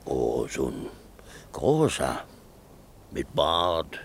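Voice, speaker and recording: An actor playing a gruff, stubborn, cheeky building-site worker in a naturalistic German radio drama, with a gravelly voice and a mocking, colloquial way of talking. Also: male voice, extremely low pitch, big pitch swings, extremely slow, full character voice.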